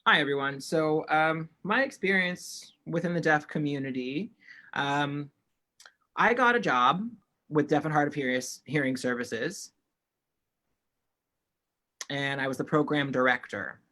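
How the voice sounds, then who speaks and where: A man's voice: a little high, unhurried, long pauses, pushed and bright. A man working as a sign language interpreter, voicing panelists' signed remarks in the first person over an online call, with frequent restarts.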